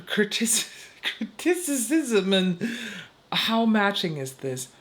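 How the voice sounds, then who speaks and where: A young woman, a beauty creator giving blunt opinions to camera, swearing casually and stumbling over words now and then. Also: mid-range voice. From Germany. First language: German.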